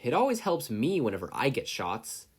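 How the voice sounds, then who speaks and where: A man's voice: medium pitch, huge pitch swings, moderate pace, slightly rough, voice pushed up. A man voicing a courteous, squeamish young boy, earnest in manner, in a read-aloud story. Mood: politely reassuring. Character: polite, squeamish young boy with odd powers.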